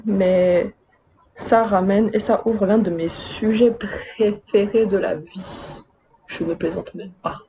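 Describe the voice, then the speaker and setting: A woman's voice: mid-range voice, mellow, highs cut off, slight background noise. A woman raised in Côte d'Ivoire and France, giving casual spoken commentary to her viewers with chatty, wry, slangy asides. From Madagascar, raised in Côte d'Ivoire and France. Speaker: video essayist.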